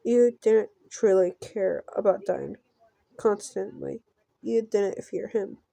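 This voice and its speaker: A woman doing a casual let's play, reading a visual novel aloud haltingly and breaking off for offhand reactions. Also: mid-range voice, steady tone, vocal fry, dark tone, no room sound, poor audio.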